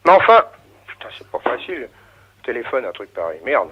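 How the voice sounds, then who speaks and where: A man's voice: mid-range voice, very animated, fairly quick, noisy background. A man on a phone call, the target of a prank, protesting and stammering in exasperation. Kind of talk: exasperated telephone conversation. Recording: dull, band-limited phone call.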